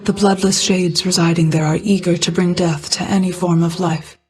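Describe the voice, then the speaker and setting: A woman voicing a regal, ageless, motherly goddess of night in a video game, speaking in formal, measured, archaic phrasing. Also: fairly low pitch, steady tone, a little mellow, lightly acted. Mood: solemn, guarded. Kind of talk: scripted video game voice acting.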